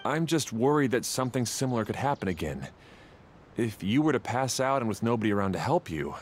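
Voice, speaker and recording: A man voicing a protective, worried and hot-headed young man in a video game, expressive and easily flustered. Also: mid-range voice, fairly quick, slight background noise, lightly acted. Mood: worried, sincere.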